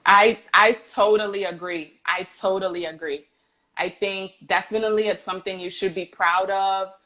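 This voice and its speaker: A woman's voice: mid-range voice, moderate pace. A woman English teacher chatting casually as a guest on a video call, laughing easily as she tells stories. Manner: relaxed, laughs while telling stories. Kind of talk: casual online chat.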